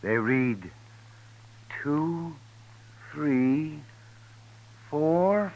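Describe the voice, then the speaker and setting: An actor playing a smug, cool card sharp in a 1950s radio drama. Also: male voice, fairly high pitch, lively intonation, extremely slow, heavily acted. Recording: dull, lo-fi old radio broadcast.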